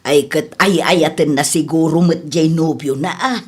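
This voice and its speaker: A woman playing an understanding middle-aged Filipina mother with an Ilocano accent in an audio drama, husky and musing. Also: low-pitched, normal speed. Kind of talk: radio-style drama acting.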